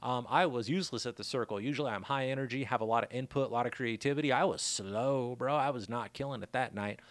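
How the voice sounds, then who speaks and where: A laid-back man talks straight into a close studio mic, his throat worn raspy. He rambles casually through stories and shout-outs. The room is dead dry, with no echo. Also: medium pitch, fast talker. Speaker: podcast host.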